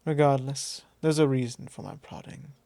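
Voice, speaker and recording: A man playing a possessive, teasing vampire lord in an intimate ASMR roleplay, speaking softly in a measured, drawling, formal way. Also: mid-range voice, steady tone, dry recording, natural voice. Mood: smooth, ominous fondness. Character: possessive male vampire lord, teasing, calmly probing his thrall.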